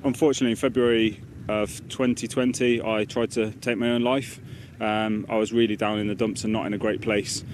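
A man with a lowish voice gives slow, candid personal testimony. Boat engines and water noise are clearly audible around him. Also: little pitch movement, a little mellow. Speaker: military veteran, team member.

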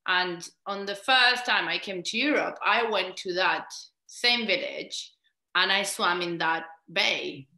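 A woman's voice: fairly low pitch, slow pace, gravelly, sharp tone, reverberant, low-quality recording. A woman in her thirties from Argentina, hosting a panel over a video call from home and talking thoughtfully, searching for words. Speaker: host, moderator. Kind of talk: conversational panel discussion. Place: home room with bookshelves.

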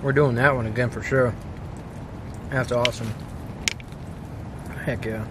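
A man in his thirties chats to the camera from inside a tent at night, casual and quick to exclaim. He cracks jokes as he goes. Wind and outdoor noise come through clearly behind him. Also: medium pitch. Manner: excitable, exclaiming, joking. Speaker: camping vlogger. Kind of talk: casual solo vlog narration.

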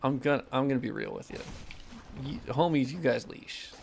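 Nasal young guy modding on stream, real gravelly fry, swears a lot, super casual. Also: mid-range voice, moderate pace, darkish tone, slight background noise. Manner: profane, reads chat questions aloud. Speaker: modder streaming gameplay. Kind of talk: casual livestream chat while playing.

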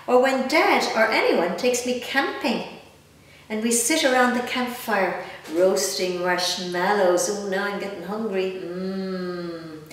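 A woman in her fifties with an Irish accent reads a picture book aloud to children, expressively, with chatty asides and questions. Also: medium pitch. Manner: animated, gently expressive, addressing young listeners with questions. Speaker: guest storyteller. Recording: echoey room, distant mic, faint noise.

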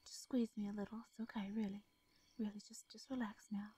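A woman with a Southern accent voicing a shy, caring hermit farmer woman in a comforting audio roleplay. She speaks softly, stammers and laughs lightly. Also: medium pitch, level tone, brisk, slightly rough. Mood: tender.

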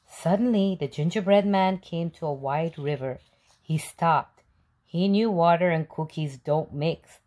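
A woman's voice: lowish voice, unhurried, mellow. Woman reading a children's story expressively. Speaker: read-aloud storyteller. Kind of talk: children's picture book narration.

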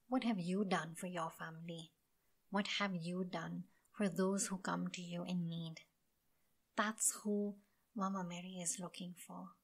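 Low-voiced earnest woman podcast host. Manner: earnest, reflective, many fillers and restarts. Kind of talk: devotional podcast talk.

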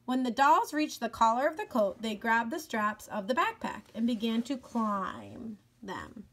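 A woman reading a children's book aloud with clear, expressive storytelling. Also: on the high side, unhurried. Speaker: elementary school educator.

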